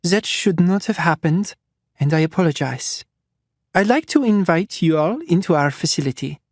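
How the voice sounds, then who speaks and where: A man's voice: on the high side, animated, normal speed, no echo, clean studio sound, voice pushed up. A man voicing a refined woman doctor who speaks with a slight French accent, polite and soothing. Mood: smooth reassurance.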